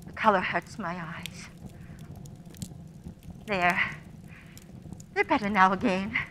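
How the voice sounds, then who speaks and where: A woman playing a gentle, stoic, grieving mother in a radio play, soft and subdued. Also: mid-range voice, a little hiss or hum, poor audio. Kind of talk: theatrical radio play acting.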